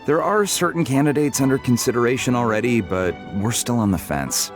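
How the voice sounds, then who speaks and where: An actor playing a genial, gruff merchant in a video game, talking in a wry, businesslike way. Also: male voice, medium pitch, expressive, a little fast, lightly acted. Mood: wry, weary. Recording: game music behind the voice. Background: game music.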